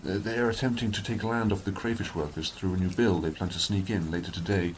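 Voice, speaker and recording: A man's voice: deep voice, quick pace, a little hiss or hum, lightly acted. A man playing an earnest, anxious informant in a radio drama, speaking formally and carefully with some urgency. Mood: uneasy, urgent. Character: anxious, earnest male informant from a political brotherhood.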